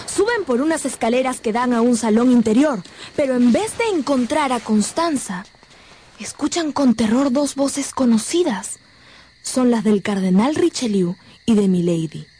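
Narradora de radionovela con voz aguda. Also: female voice, a little high, lively intonation, moderate pace, warm tone, slightly rough. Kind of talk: scripted dramatic narration of a radio drama.

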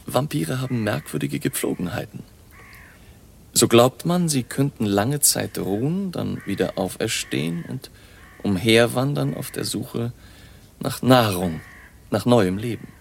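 Creaky, learned vampire-hunting baron. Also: male voice, medium pitch, lively intonation, slight background noise. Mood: grave, matter-of-fact. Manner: measured, scholarly, ominous. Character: baron, eerie learned vampire researcher, grave and deliberate. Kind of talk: radio drama acting.

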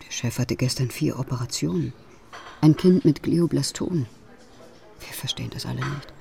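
An actress in a radio drama playing a guarded, worried East German clinic secretary with a clipped, discreet manner. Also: female voice, very deep voice, normal speed, vocal fry, mellow, exaggerated voice. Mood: worried, hushed.

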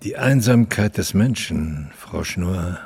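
A fallen opera star in his eighties, lonely and bitter. The voice is low and husky, and he delivers his radio-play monologue at an unhurried pace, with weighty, theatrical pauses. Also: lively intonation, a little mellow, full character voice. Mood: brooding, confessional. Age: 80s.

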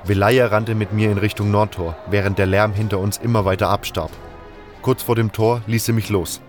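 Guy narrating a fantasy audio drama in first person, lowish voice, faint music under it. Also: steady tone, moderate pace.

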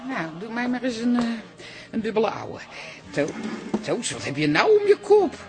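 Animated, brash older aunt. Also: female voice, on the high side, moderate pace. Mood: sardonic. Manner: blunt, wisecracking. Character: tante Ali, brash, sardonic older barfly aunt. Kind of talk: Dutch radio comedy drama acting.

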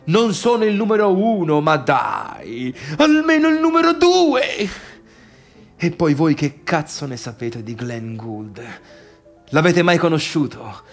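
An actor playing a bitter, needy, boastful failed pianist in a halting theatrical radio monologue that swings between murmurs and outbursts. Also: male voice, on the high side, big pitch swings, faint music behind, full character voice. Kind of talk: radio theatre monologue, addressing imagined listeners.

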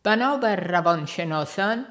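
A woman narrating a religious book from a script, in a measured, formal literary manner. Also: lowish voice, expressive, a bit bright. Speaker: audiobook narrator.

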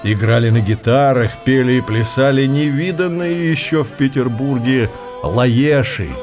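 A man narrating a literary radio drama with measured, expressive storytelling phrasing. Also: medium pitch, music in the background.

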